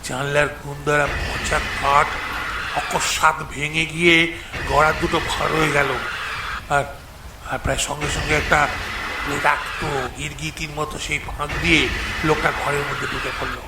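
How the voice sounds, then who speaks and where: A man narrating a suspense story in a measured, dramatic manner with weighty pauses. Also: fairly high pitch, flat delivery, reverberant, heavy background noise.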